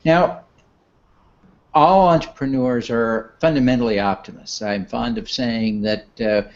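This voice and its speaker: A man hosting an interview, asking measured, friendly questions. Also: medium pitch. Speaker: interview host. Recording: dull, muffled sound.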